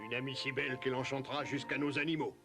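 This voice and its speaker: A man playing an excitable, good-hearted adventurer in a French cartoon dub, creaky and theatrical. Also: mid-range voice, fast, close to an everyday voice. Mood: grateful. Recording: very loud music behind the voice.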